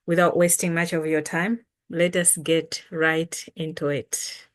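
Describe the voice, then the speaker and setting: A woman from Malawi, whose first language is Chitumbuka, hosts a casual language lesson. She speaks slowly and patiently, in a fairly low voice, repeating each word and spelling it out. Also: little pitch movement. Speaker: language teacher and channel host.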